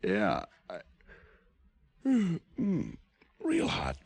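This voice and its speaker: A man's voice: lowish voice, huge pitch swings, very slow, a little mellow, breathy edge, no room sound, full character voice. A man playing an unflappable, wisecracking private detective in an old radio drama, with a dry, flippant manner. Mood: drugged, faltering. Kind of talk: 1940s-50s radio detective acting with first-person narration.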